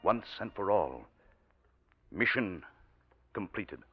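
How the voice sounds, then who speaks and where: An actor playing a calm, reassuring male doctor in a 1940s radio drama. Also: deep voice, takes it slowly. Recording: muffled old radio, faint music.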